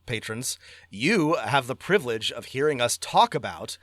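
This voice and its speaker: A man's voice: mid-range voice, big pitch swings, normal speed, a bit bright, no room sound. A man co-hosting a podcast in casual review chat, speaking emphatically and repeating words for stress. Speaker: podcast co-host.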